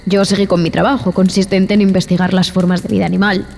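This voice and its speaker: An actress playing a frightened, repulsed expedition zoologist, narrating a horror audio drama in a deliberate, theatrical way with dramatic pauses. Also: female voice, mid-range voice, little pitch movement, creaky, a little mellow. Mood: haunted, fearful. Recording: long reverb, music behind.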